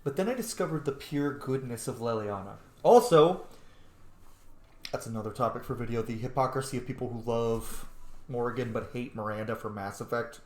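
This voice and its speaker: A man's voice: medium pitch, fairly quick. Man chatting casually in an echoey room. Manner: chatty, opinionated fan talk with swearing. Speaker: gaming video host. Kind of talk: casual playthrough commentary.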